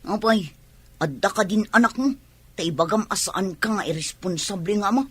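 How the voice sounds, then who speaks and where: An actress playing a reluctant, upset young bride in an Ilocano radio drama, with an Ilocano accent. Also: female voice, mid-range voice, brisk, breathy edge, natural voice. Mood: doubtful.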